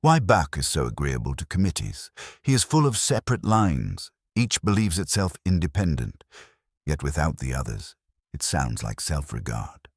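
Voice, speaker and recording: A prim older lady voiced by a man for a radio mystery, very low in pitch and composed. The phrasing is precise and formal, with dry wit and lively swings of pitch. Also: moderate pace, husky, no room sound, exaggerated voice. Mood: dry, critical. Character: composed, dryly witty, prim older woman, a music-loving typist.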